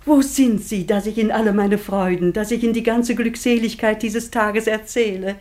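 An actress playing a loving, emotional matriarch in a classical radio drama, with a breathy voice and fervent, exclamatory delivery. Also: female voice, medium pitch, steady tone, normal speed, natural voice. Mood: elated. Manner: fervent, exclamatory, swinging from loud to hushed. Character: loving old matriarch, emotional, anxious mother.

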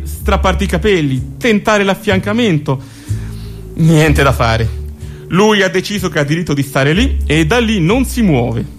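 A male storyteller in a comic radio play. His voice is on the high side, emphatic and mock-exasperated. Soft music runs behind him. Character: exasperated male storyteller describing maddening slow drivers. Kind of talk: scripted comic radio theatre monologue.

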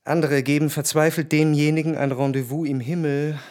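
An actor reciting old mottoes and their meanings as scripted literary narration in a radio drama. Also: male voice, fairly high pitch, flat delivery, brisk, a little mellow, close to an everyday voice. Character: male reciter of Latin and French mottoes.

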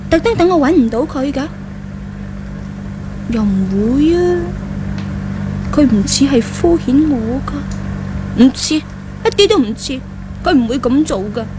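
A woman with a Hong Kong Cantonese accent playing an impulsive, jealous young woman spurned in love, thinking aloud in exclamations in a 1970s radio drama. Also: high-pitched. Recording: noisy old radio drama recording.

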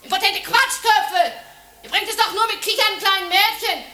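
A woman's voice: moderate pace, bright, forceful tone. An actress playing a lonely, jobless woman, bitter and despairing, in a theatrical radio drama monologue. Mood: furious. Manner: theatrical, swinging from shrill ranting to breathy murmuring.

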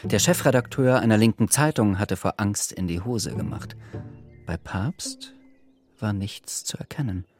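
A man's voice: fairly low pitch, expressive, a little slow, a little mellow, soft background music. A man narrating a literary radio drama from a script.

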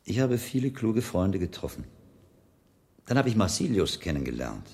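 Clever calm friar, low voice with lots of melody, wry, radio play. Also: male voice. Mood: reflective, warm. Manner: measured, wry, thoughtful. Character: William, shrewd, learned English friar, calm and wry. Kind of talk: radio drama acting.

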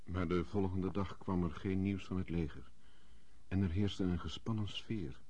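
An actor playing the sober narrator of a historical radio drama, with a husky voice and scripted delivery. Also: male voice, deep voice, fairly even intonation, moderate pace, warm tone. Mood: grave.

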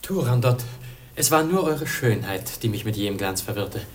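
A man's voice: lowish voice, expressive, moderate pace, natural voice. A man playing a noble, lovestruck young prince, declaiming with passion in a theatrical radio play. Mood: exultant. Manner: impassioned, declamatory.

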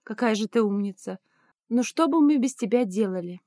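A woman's voice: on the high side, animated, moderate pace, mellow, dry recording, close to an everyday voice. A woman reads as a young fantasy princess in an audiobook. She is outspoken and blunt, with informal, exasperated phrasing and a dry, close sound. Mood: touched.

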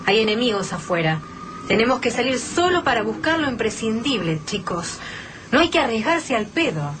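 Woman playing an anxious survivor, Rioplatense Argentine, emotional, lively pitch, radio play, noisy. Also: moderate pace. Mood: upset. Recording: noisy radio-drama recording.

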